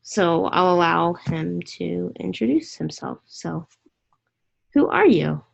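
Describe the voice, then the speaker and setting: A woman's voice: on the low side, sing-song, takes it slowly, vocal fry, lo-fi sound. A woman hosting a casual online interview, chatty and opinionated, rambling with restarts.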